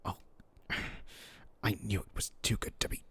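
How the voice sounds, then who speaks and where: A man playing a sinister, hungry demon in a human body for a dark fantasy roleplay, speaking with slow, mock-polite menace in a gravelly, husky, breathy voice. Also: lightly acted. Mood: thwarted, bitter.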